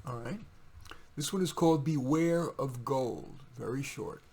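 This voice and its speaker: A man with a husky voice reading his own poems in a deliberate, wry way, with informal asides. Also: mid-range voice, darkish tone.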